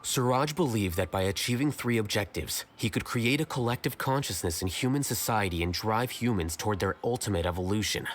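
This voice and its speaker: A man playing a calm, blunt male scholar in a video game, speaking in a measured, matter-of-fact way. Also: lowish voice, slight background noise, close to an everyday voice. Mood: explaining, detached.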